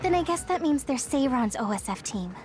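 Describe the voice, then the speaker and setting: Game role: earnest teenage girl fighter, very high pitch, gentle, caring, faint music underneath. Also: very animated, a little fast. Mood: curious. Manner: gentle, concerned. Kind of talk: anime-style video game voice acting.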